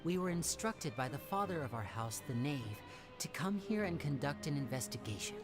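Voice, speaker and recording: A suave young male stage magician from a video game, pitched low. He talks smoothly and theatrically, playful yet secretive, over clear background music. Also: lively intonation, moderate pace. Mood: confiding. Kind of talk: video game character acting.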